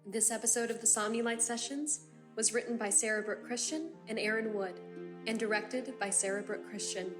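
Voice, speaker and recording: A woman's voice: on the high side, steady tone, normal speed. A woman reading scripted promotional and credits narration as an announcer. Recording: clear music bed behind voice.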